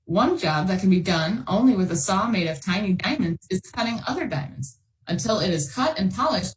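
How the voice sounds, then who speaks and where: A woman's voice: mid-range voice, poor audio. A woman hosting a storytime, reading a children's science book aloud and talking with listeners. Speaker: children's storytime host and reader.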